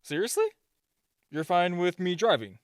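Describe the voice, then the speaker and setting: Man acting a shy, flustered, higher-voiced gadget guy. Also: big pitch swings, smooth, no echo, studio quality. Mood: nervous, puzzled. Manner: nervous, stammering, halting, self-interrupting. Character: super-shy, easily flustered male spy gadget guy. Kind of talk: romantic comedy audio roleplay.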